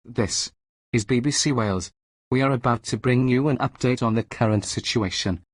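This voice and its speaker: A man reading a formal emergency broadcast announcement in a measured, solemn manner. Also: medium pitch, no echo.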